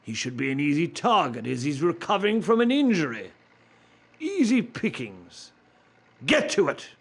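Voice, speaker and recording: A man's voice: fairly high pitch, very animated, normal speed, a bit bright, husky, exaggerated voice. An actor playing a stern, ruthless army officer barking orders at his soldiers in a radio play. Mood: cold, commanding.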